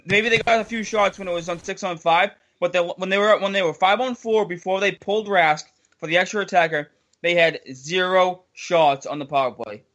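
High-pitched young male host, frustrated, repeats himself, casual sports banter. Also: brisk, a bit bright. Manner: frustrated, repetitive, incredulous. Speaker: podcast host.

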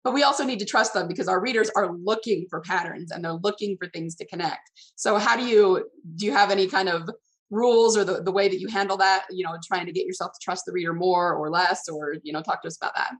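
A woman's voice: lowish voice, fast talker. A woman interview host chatting casually and discursively, working her points round into questions.

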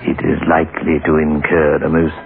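A man's voice: rumbling low, monotone, vocal fry, narrow, tinny audio. An actor playing a sinister, drolly macabre horror show host who savours his puns in a 1960s radio series. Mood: gleefully macabre. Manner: slow, drawn-out, pun-relishing delivery. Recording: band-limited old radio, loud music. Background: music.

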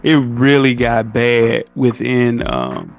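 A man's voice: medium pitch, very animated, slow pace, creaky, soft background music. A man chatting casually as a podcast guest, emphatic and slangy, often calling people bro.

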